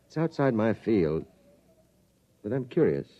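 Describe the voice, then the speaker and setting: Deep-voiced, calm military doctor. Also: male voice, a little slow. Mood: musing, curious. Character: calm, kindly military doctor, quietly curious. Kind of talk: 1950s radio drama acting. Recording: muffled 1950s radio recording.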